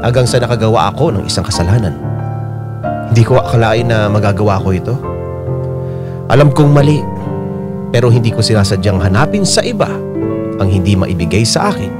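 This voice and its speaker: A man's voice: lowish voice, lively intonation, fairly quick, pauses often, natural voice. An actor playing a troubled husband, narrating his marriage story with a Filipino accent in an earnest, confiding radio drama style. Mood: guilty, confused. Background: piano and keyboard music.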